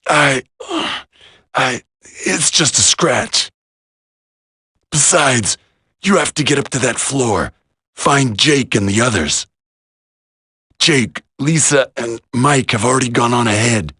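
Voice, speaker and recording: A man voicing a gruff, wounded veteran soldier in a video game, strained and husky but stoic. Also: mid-range voice, expressive, slow, long pauses, bright, forceful tone, dry recording, clean studio sound, heavily acted. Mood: weary resolve. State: weak, strained from injury.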